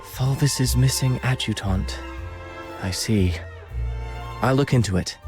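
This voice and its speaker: An actor playing a suave aristocratic prince in a video game, wry and caring, with refined, theatrical formal diction. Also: male voice, mid-range voice, takes it slowly, lightly acted. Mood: reassuring. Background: background music.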